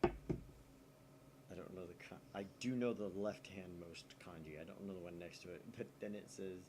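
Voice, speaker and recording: A man's voice: low voice, moderate pace, heavy background noise. A man hosting a gaming channel, reading a visual novel aloud with casual asides.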